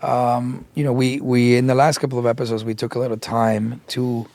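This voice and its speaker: A middle-aged man co-hosting a casual podcast chat, his voice raspy and tired after a long day, talking in a rambling way with many fillers. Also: medium pitch, flat delivery, a little fast, darkish tone. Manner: rambling, filler-heavy storytelling. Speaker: podcast co-host.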